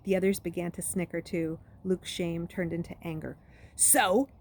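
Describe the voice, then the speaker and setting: A woman reading a story aloud as an expressive narrator. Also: fairly low pitch, normal speed, a little hiss or hum. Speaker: read-aloud reader.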